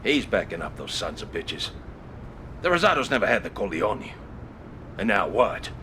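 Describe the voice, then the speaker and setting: An actor playing a hot-tempered, suspicious old-guard mobster in a video game, gravelly, blunt and accusing. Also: male voice, fairly low pitch, sing-song, normal speed, a little hiss or hum. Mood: agitated. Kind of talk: video game cutscene acting. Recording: loud music behind the dialogue.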